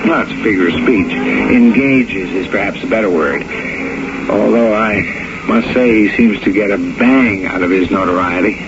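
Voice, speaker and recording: An actor playing a wry, worldly embassy intelligence man in a 1970s radio drama, talking in a dry, measured way. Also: lowish voice, natural voice. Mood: dry, knowing. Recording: muffled, noisy 1970s radio recording.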